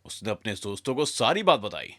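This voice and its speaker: Bright, animated male storyteller. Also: lowish voice, brisk, dry recording, clean studio sound. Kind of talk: scripted children's story narration.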